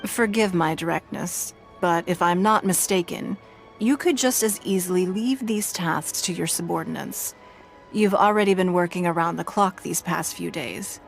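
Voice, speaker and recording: A woman's voice: lowish voice, creaky. A woman playing a composed, formal, authoritative civic leader in a video game. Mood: polite, concerned. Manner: formal, poised, measured. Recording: music behind the voice.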